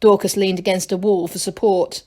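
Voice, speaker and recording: A woman's voice: mid-range voice, little pitch movement, moderate pace, darkish tone. A woman reading a children's storybook aloud as narrator, like a teacher to a class.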